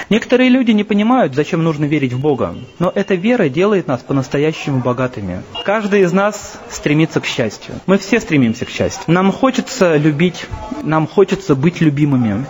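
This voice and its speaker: A man's voice: on the high side, sing-song, darkish tone. Earnest man in his thirties lecturing. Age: 30s. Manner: earnest, measured and personal. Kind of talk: recorded spiritual lecture. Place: seated in a temple. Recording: dull lo-fi recording with faint ambience.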